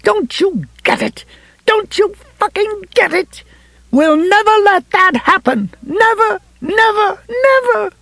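A man's voice: extremely high pitch, sing-song, slow, vocal fry, pushed and bright, no echo, pitched above the performer's own voice, full character voice. A man voicing a distraught, furious woman in an audiobook story, fierce and tearful. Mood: screaming rage. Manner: fierce, tearful, screaming when roused. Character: distraught, furious young mother, grieving friend turned fanatical.

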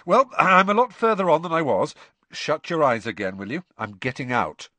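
A man's voice: fairly high pitch, very animated, moderate pace, bright, forceful tone, no room sound, pitched above the performer's own voice. A man voicing an earnest, puzzled teenage schoolboy in a storybook, with a hesitant, questioning delivery. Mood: distracted.

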